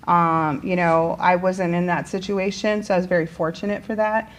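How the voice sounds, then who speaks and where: A woman's voice: fairly low pitch, little pitch movement, normal speed. Middle-aged woman chatting over background noise. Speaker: teacher being interviewed. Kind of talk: casual personal storytelling in an interview. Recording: clearly noisy background.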